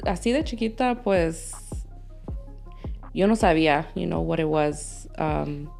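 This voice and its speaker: Female interview guest, gravelly low register, candid conversational delivery, code-switches between English and Spanish. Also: expressive, a little slow, darkish tone, faint music behind. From Los Angeles, United States. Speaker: podcast guest. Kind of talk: candid conversational interview, telling life story.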